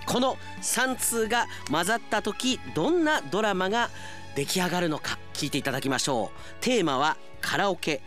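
Lively male radio announcer over music. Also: high-pitched, normal speed. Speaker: radio announcer, host and drama narrator. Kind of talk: radio presenting and scripted narration. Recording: music bed behind the voice.

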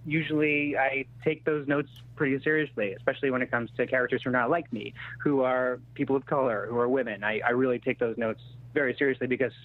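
A man's voice: medium pitch, flat delivery, fast talker. Man on a thin phone-like line, candid. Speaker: actor-writer, interview guest. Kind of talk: conversational interview answers. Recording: thin phone-like radio sound.

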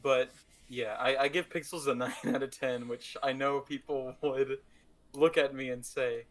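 A man's voice: medium pitch, fairly even intonation, measured pace. A man co-hosting a podcast, chatting casually and playfully about movies with an enthusiastic manner. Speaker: podcast co-host.

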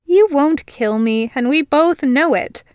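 A woman's voice: high-pitched, sing-song, unhurried, no room sound, pitched above the performer's own voice. A woman voicing a bold young human girl who teases with smug, dry wit. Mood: teasing.

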